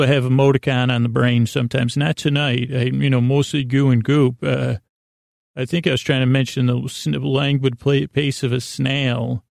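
Very gravelly, meandering male podcaster. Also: medium pitch, dry recording, clean studio sound. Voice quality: gravelly, creaky. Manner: meandering, halting, stammering, trailing off mid-thought. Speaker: podcast host. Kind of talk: rambling bedtime sleep-podcast monologue.

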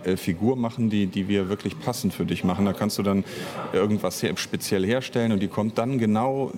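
A man's voice: on the low side, brisk, crowd noise. A man speaking as an interview guest in casual conversation, searching for words as he explains at length. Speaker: interview guest, audio drama author and director.

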